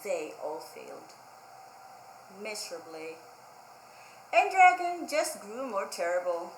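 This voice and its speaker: A woman's voice: medium pitch, big pitch swings, slow, echoey room, a little hiss or hum, low-quality recording. A woman hosting a children's storytime, reading a picture book aloud expressively and emphatically, with simple asides to young listeners. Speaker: children's librarian storytime host.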